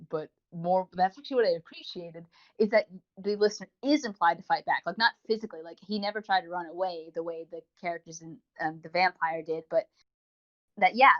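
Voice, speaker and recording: A woman co-hosting a podcast, giving a rambling, enthusiastic review in a casual, chatty style. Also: fairly low pitch. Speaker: podcast co-host. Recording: dull lo-fi voice recording.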